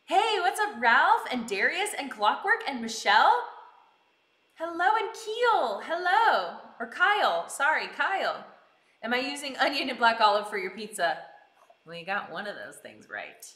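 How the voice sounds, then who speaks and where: Bright, animated woman streamer, high voice. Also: moderate pace. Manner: bubbly, giggly, exclaiming greetings. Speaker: live streamer hosting a cooking stream. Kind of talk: casual chat with live viewers. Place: indoors in a kitchen. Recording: roomy, echoing indoor sound.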